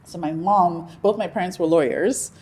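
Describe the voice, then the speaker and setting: Low-voiced Nigerian-born woman, measured. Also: darkish tone, a little hiss or hum. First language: Yoruba. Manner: reflective, measured, frequent ums and restarts. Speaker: interview guest. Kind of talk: conversational interview.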